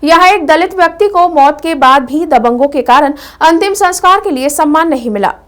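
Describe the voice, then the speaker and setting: Female news presenter, high voice, scripted bulletin delivery. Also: normal speed, a bit bright.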